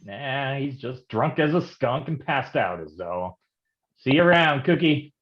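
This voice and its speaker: Man playing a slangy, gruff noir private eye, swooping delivery. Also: mid-range voice, animated, measured pace, lo-fi sound, close to an everyday voice. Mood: exasperated. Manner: wisecracking, old-time gumshoe slang. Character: hard-boiled, slangy male private detective, gruff but protective. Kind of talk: noir detective staged reading.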